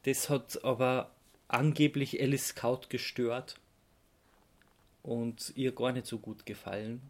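A man with an Austrian accent explaining things in a casual podcast chat as a host, often halting and searching for words. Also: medium pitch, steady tone.

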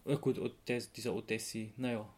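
A man co-hosting a casual podcast, halting and correcting himself as he recounts things and gives firm opinions. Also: on the low side, fairly even intonation, darkish tone. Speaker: podcast co-host.

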